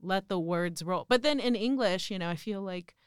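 A woman's voice: mid-range voice, normal speed, clean tone, dry recording, clean studio sound. A young woman with a Mexican Spanish accent, speaking Spanish natively and chatting casually as an interviewee, full of "like" and "um". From central Mexico. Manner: rambling, lots of "like" and "um". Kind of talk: casual conversation.

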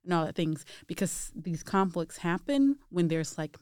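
A woman's voice: lowish voice, expressive, normal speed, darkish tone, no room sound, studio quality. A woman in a casual conversation, talking thoughtfully in long reflective runs.

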